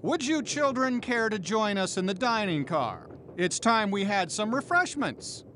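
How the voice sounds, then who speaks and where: Formal, lively train conductor. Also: male voice, high-pitched, measured pace, slight background noise, exaggerated voice. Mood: brisk, courteous. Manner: formal, courteous, authoritative. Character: brisk, formal middle-aged male train conductor. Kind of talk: animated video game acting.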